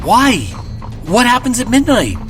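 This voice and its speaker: A man's voice: very high-pitched, big pitch swings, a little slow, a bit bright, faint music behind, exaggerated voice. A man playing a young, polite but uneasy businessman traveler in an audio drama, earnest and questioning. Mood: alarmed.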